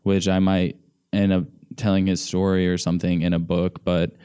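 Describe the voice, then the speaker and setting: A man's voice: sits low, completely flat delivery, normal speed, warm tone, clean tone. A man from the United States, a podcast guest telling his story in an earnest, meandering way and filling his speech with "like.". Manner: earnest, meandering, heavy use of "like". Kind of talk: casual conversational storytelling.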